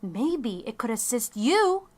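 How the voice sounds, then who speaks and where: A woman's voice: a little high, big pitch swings, slow, exaggerated voice. A woman playing an eccentric, doting elderly grandmother in an audio drama, rambling and theatrical, full of endearments. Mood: earnest storytelling.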